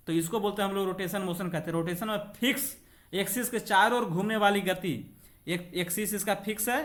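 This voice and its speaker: High-pitched male coaching teacher. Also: a bit bright. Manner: repeats points, checks in with short confirmations; switches between Hindi and English when reading aloud. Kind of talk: lesson working through practice questions.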